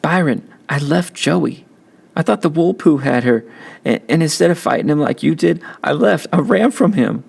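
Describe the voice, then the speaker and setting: A man voicing a tearful, ashamed young boy in an audiobook, with halting, pleading delivery. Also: a little high, expressive, gravelly, darkish tone, breathy edge, voice pushed up, heavily acted. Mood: anguished, guilty. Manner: weepy, halting, stammering pleas. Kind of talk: audiobook character acting.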